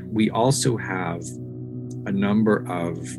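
American man, low-pitched, measured and thoughtful, researcher answering interview questions. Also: over music. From United States. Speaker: academic researcher and interview guest.

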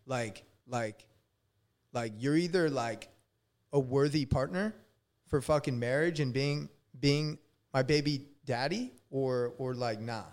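A man in his thirties hosting a podcast, chatting casually and relaxed, with lots of "like" and some swearing. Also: medium pitch, slow pace. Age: 30s. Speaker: podcast host. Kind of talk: casual interview chat.

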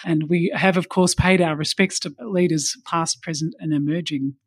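A woman's voice: sits low, fairly even intonation, moderate pace, husky, dry recording. Woman moderating, close dry sound. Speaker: panel host. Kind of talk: formal panel moderation.

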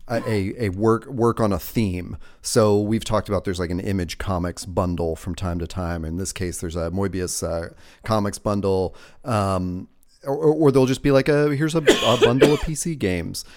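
Lower-pitched male podcast co-host, chatty and teasing, lots of ums. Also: darkish tone. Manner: chatty, teasing, laughs often, many fillers. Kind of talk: casual podcast chat.

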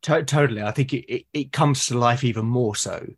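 A man's voice: medium pitch, fairly quick, slightly rough. A British man speaking as a podcast guest, explaining things earnestly in casual conversation. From Britain.

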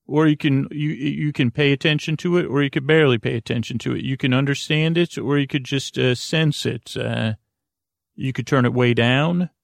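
A man hosting a bedtime podcast in a rambling, halting monologue, often interrupting himself and wandering off, with a creaky voice. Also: mid-range voice, fairly even intonation, dry recording, clean studio sound. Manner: meandering, halting, self-interrupting, digressive. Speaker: podcast host.

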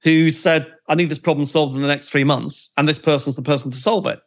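Man hosting with a fairly high voice. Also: steady tone, a little fast. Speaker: podcast host. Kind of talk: conversational interview.

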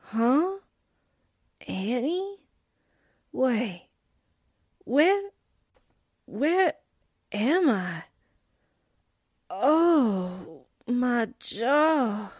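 A woman acting a slangy, grumbling young newspaperwoman sidekick in a 1940s-style radio drama, with comic, drawn-out delivery. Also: a little high, sing-song, darkish tone, breathy edge, no room sound, studio quality, full character voice. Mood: groggy.

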